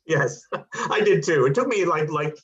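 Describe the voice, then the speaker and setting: Halting, encouraging man on muffled call audio. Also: a little high, expressive, a bit bright. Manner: halting, repeats and restarts phrases; encouraging, appreciative. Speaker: host of an online play reading. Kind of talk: casual chat between readings. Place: remote online group call.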